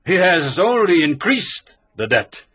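Guy doing a slow, raspy, bitter old doctor, big swings in pitch. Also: sing-song, a bit bright, no echo, heavily acted. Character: bitter vengeful old male physician. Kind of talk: audio drama acting.

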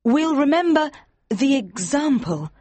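A woman playing a devoted young woman in mourning in a dramatised audiobook, earnest and pleading, with a breathy voice. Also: high-pitched, very animated, unhurried, dark tone, no room sound. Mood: grieving, proud.

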